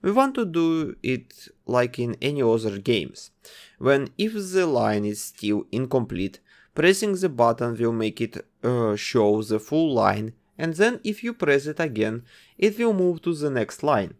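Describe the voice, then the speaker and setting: Man with a lively voice narrating a coding tutorial. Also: mid-range voice, unhurried. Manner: explains code step by step while testing it. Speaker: game development tutor. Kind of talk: screen-recorded coding tutorial.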